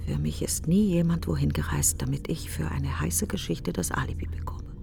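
An actress playing a bitter, grieving middle-aged woman in a literary radio monologue, breathy and brooding, speaking in insistent fragments. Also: low voice, normal speed, in a whisper, music in the background. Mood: bitter, resentful.

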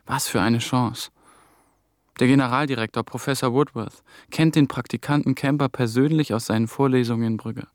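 A man's voice: medium pitch, steady tone, moderate pace, no echo, studio quality, close to an everyday voice. An actor playing a young intern on the run, telling his story in clipped, brooding phrases for a radio drama. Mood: brooding, tense. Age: young adult. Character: ambitious young German intern, hunted and haunted. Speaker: lead actor and narrating protagonist.

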